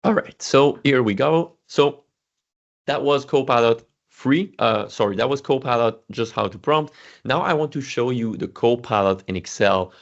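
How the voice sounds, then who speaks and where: A man's voice: medium pitch, animated, normal speed, highs cut off. A man whose first language is French, walking through a spreadsheet demo in a casual, self-correcting way with lots of fillers and restarts. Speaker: Excel instructor presenting. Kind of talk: casual screen-share walkthrough.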